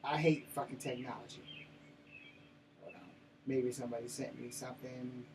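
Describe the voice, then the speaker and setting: A man's voice: medium pitch, flat delivery, normal speed. An American man in his fifties chatting casually to viewers in a rambling, profane, slangy way. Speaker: host of his own vlog. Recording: noisy, distant-sounding mic with room ambience.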